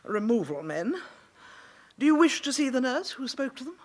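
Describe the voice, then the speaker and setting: An actress playing an indignant, haughty English care home matron in a radio drama, speaking formally and precisely. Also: female voice, high voice, sing-song, normal speed. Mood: indignant, snobbish. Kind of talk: British radio drama acting.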